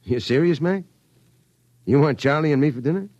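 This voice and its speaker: A man playing a gruff, slangy, down-on-his-luck rocket pilot in a 1950s radio drama. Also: fairly high pitch, expressive, a little fast, darkish tone, no room sound, lo-fi sound, lightly acted. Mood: brusque, weary. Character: blunt down-on-his-luck rocket pilot running a fairground ride.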